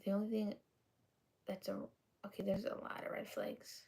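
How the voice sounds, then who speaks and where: A woman in her twenties rambling through a personal confession to camera, halting, with lots of ums and restarts, and often dropping to a half-whisper. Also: mid-range voice, monotone, vocal fry, darkish tone, husky. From United States. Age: 20s. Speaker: vlogger.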